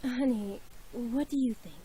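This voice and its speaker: A woman playing a caring, anxious mother in a tender, hushed manner. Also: a little high, a little slow, slightly rough. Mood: concerned.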